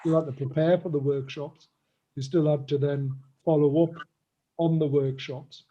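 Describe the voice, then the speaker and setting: A man co-hosting a casual business chat, his voice a little croaky, speaking hesitantly with many fillers and pauses. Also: fairly high pitch, steady tone, lo-fi sound, heavy background noise. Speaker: co-host.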